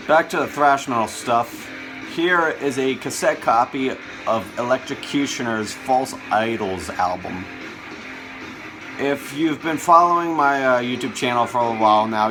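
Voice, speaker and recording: A man's voice: fairly low pitch, rough recording. A man talking conversationally and with enthusiasm as he hosts a casual show-and-tell video. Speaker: video host showing a music collection.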